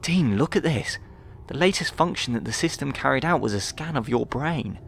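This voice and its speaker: Man voicing an earnest, anxious woman scientist, raised pitch. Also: lively intonation, moderate pace, vocal fry. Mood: earnest, astonished. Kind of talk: dramatised sci-fi audiobook dialogue.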